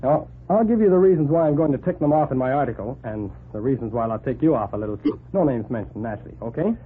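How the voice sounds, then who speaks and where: An actor in a 1940s radio drama playing a brisk, persuasive, self-assured newspaper reporter. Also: male voice, mid-range voice, expressive, natural voice. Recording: narrow, noisy 1940s radio recording.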